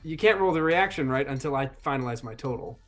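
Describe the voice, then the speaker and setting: A man playing a tabletop game, chatting casually and narrating his character's actions in an animated, self-interrupting way. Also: mid-range voice, quick pace, soft background music.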